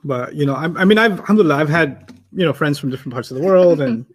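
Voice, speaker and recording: A man's voice: a little high, lively intonation, fast. A man, a native German speaker, teaching Arabic in a casual, chatty way and telling stories with laughter. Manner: chatty, storytelling, laughs often. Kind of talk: casual teaching chat with anecdotes.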